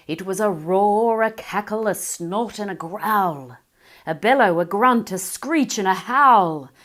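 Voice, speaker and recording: Slow, expressive woman reading a picture book. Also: lowish voice. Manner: expressive storytelling, whispers for suspense. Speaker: narrator. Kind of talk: picture-book read-aloud.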